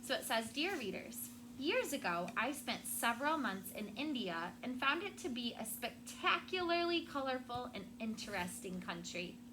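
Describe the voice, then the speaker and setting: A woman teacher with a high voice leads a classroom read-aloud for children. She explains things in simple words and often checks that they follow. The recording has clear room noise. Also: very animated, moderate pace, few pauses. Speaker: schoolteacher.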